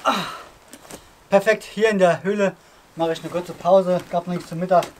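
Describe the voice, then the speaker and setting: A man's voice: high voice, fairly even intonation, normal speed, a little hiss or hum. A man in his thirties talking casually while hiking through a rocky forest, reviewing outdoor gear with lots of fillers and enthusiasm. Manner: chatty and enthusiastic, with frequent fillers.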